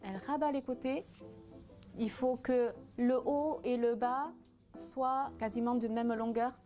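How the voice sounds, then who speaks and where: A woman of Vietnamese origin giving a casual step-by-step cooking demonstration, pausing often with fillers as she explains. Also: a little high, fairly even intonation, dark tone, faint music behind. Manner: hesitant, frequent "euh" fillers, step-by-step explaining. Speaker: cooking association cofounder demonstrating a recipe.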